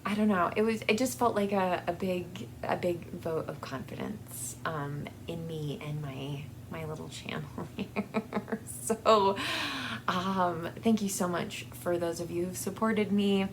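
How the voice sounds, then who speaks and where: A woman chatting casually as a book vlog host, in a halting, hesitant way that often trails off mid-thought. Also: lowish voice, gravelly, background noise.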